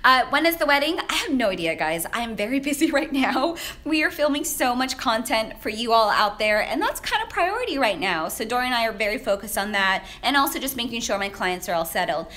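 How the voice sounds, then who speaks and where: A young woman whose first language is Vietnamese, chatting through a casual live Q&A as a menswear stylist, filming herself on a handheld phone in a high-rise office. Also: very animated, brisk, few pauses, sharp tone. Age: young adult. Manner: chatty, upbeat, laughs often, many fillers. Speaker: menswear stylist answering viewer questions. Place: high-rise office with large windows, indoors. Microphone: handheld phone at arm's length, shaky.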